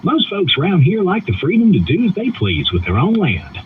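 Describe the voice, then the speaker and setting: Male AI assistant, Southern US drawl, very lively intonation, tinny phone-like playback. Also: sits high, fairly quick, slight background noise. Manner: homespun drawl, folksy sayings. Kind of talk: folksy conversational replies.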